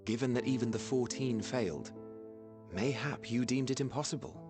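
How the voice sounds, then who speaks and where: An actor playing a calm, reflective scholar of an ancient people in a game cutscene, politely reasoning in archaic phrasing. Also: male voice, mid-range voice, moderate pace, lightly acted. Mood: musing, reasoning. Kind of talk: video game cutscene voice acting. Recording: music under the voice.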